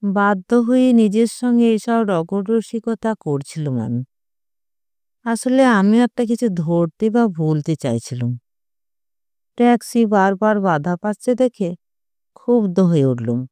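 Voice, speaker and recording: A narrator reading a first-person short story in a measured, literary storytelling manner. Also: medium pitch, expressive, a little mellow, clear, resonant tone, no room sound.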